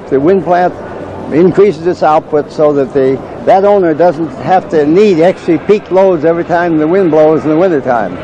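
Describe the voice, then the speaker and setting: A man's voice: a little high, warm tone, highs cut off. Older man explaining, noisy outdoor tape. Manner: plain, matter-of-fact explaining with frequent uh. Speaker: wind turbine maker. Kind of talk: explaining to fairgoers. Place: outdoor fairground. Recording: noisy outdoor camcorder audio.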